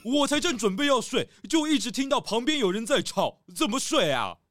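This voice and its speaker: A man's voice: very high-pitched, big pitch swings, brisk, fairly bright tone, soft background music, full character voice. A man voicing a grumpy, sleepy grizzly bear who complains irritably in a children's audio drama. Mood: irritated.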